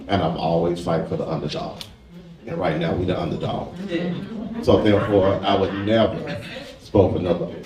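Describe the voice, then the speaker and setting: A man speaking as a candidate at a forum, plainspoken and defiant. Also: sits low. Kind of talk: forum answer. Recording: echoey hall from far off with crowd.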